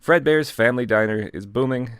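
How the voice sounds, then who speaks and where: A man's voice: medium pitch, brisk, clear, resonant tone, no echo, studio quality. A man casually reading aloud and riffing on it with joking, sarcastic asides, as a host. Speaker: host reading a fan fiction aloud with commentary.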